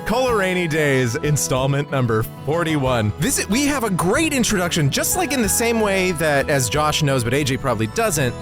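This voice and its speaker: A man co-hosting a comedy podcast, chatting irreverently in an excitable, emphatic, sweary way. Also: on the high side, normal speed, hardly any pauses, over music. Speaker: podcast co-host.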